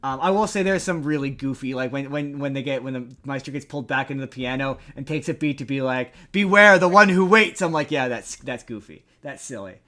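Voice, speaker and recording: A man's voice: mid-range voice, a little fast, few pauses, bright, forceful tone. A man hosting a casual pop-culture discussion, talking in a rambling way and repeating words for emphasis. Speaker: podcast host.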